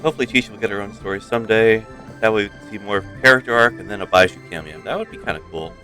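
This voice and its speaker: A man's voice: lowish voice, rapid-fire, sharp tone, soft background music. A man giving casual live gameplay commentary as a game streamer, sometimes reading dialogue aloud.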